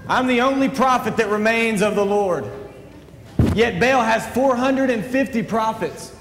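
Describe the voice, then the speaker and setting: A man's voice: extremely high pitch, a little slow, a bit bright, exaggerated voice. A man playing a bold, defiant prophet in a children's Bible stage play, declaiming with emphasis. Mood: solemn, challenging. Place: auditorium stage. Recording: echoey auditorium, voice at a distance, faint noise.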